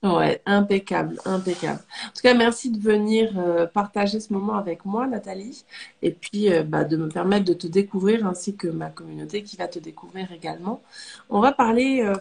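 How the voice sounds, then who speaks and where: A woman hosting a live interview, nasal and hoarse from a cold, welcoming her guest with many fillers. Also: medium pitch, normal speed, darkish tone. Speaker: host, life coach.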